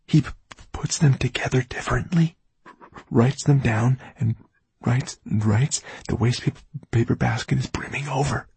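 A man's voice: medium pitch, normal speed, vocal fry, slightly rough, no room sound, pitched above the performer's own voice, rough recording. A man voicing a nervous, evasive, mild-mannered man in an audiobook, stammering and hesitant. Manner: hushed, hesitant, timid.

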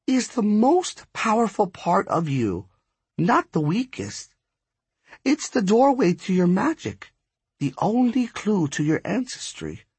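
A man's voice: high voice, huge pitch swings, a little slow, slightly rough, no room sound, voice pushed up, heavily acted. A man voicing a bossy, impatient and ancient female spirit who forges lightning, scolding and commanding in an audiobook story. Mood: insistent, instructive. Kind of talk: acted audiobook dialogue.

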